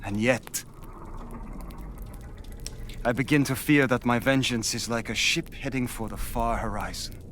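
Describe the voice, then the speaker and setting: A man's voice: mid-range voice, a little slow, long pauses, fairly bright tone, a little hiss or hum, close to an everyday voice. A man playing an earnest young Norse warrior in a video game, speaking with dramatic intensity. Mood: troubled, resolute.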